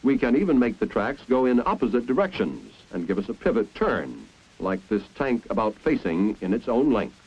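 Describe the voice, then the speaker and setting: A man's voice: medium pitch, big pitch swings, moderate pace, breathy edge. An American man narrating a 1950s instructional film in a didactic, explanatory way. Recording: dull, muffled 1950s film soundtrack.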